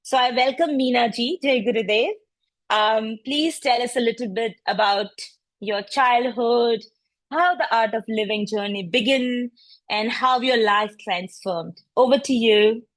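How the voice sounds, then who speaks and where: A woman's voice: a little high, measured pace, dry recording. A woman hosting a podcast over a video call, who reads out an introduction and then chats effusively with her guest. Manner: warm, effusive, admits nervousness. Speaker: podcast host. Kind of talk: reading an introduction, then chatting.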